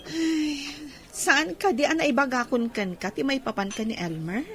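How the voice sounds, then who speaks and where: A woman's voice: high-pitched, normal speed, background noise, natural voice. A woman playing a coy, plaintive young single woman who pines for her boyfriend, in an Ilocano-accented Filipino comedy radio drama. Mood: playful.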